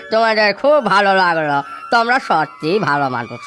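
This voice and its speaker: Animated kindly washerman. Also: mid-range voice. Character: kindly, humble village washerman, hospitable. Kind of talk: children's folktale cartoon acting. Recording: music under the voice.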